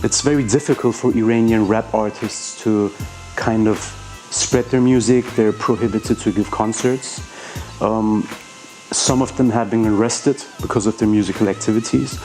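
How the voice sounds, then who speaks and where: A man's voice: fairly low pitch, fairly even intonation, normal speed, darkish tone, faint music behind. A man from Iran whose first language is Persian, a rapper answering interview questions in a hesitant way, with many fillers and restarts.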